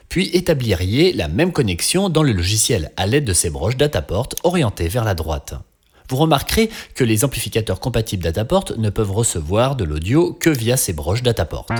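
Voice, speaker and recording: A man's voice: lowish voice, husky. A man giving scripted tutorial narration as a training instructor, explaining step by step with touches of dry humour.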